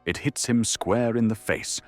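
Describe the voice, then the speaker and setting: A man's voice: mid-range voice, measured pace, faint music behind. A man narrating an audio drama in a dramatic, scripted storytelling style.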